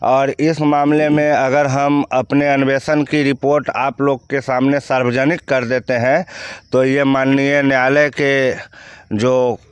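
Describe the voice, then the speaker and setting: A man's voice: medium pitch, level tone, unhurried, clean tone, no room sound. A man with a Bihari accent giving blunt, outspoken opinions as a news commentator answering questions.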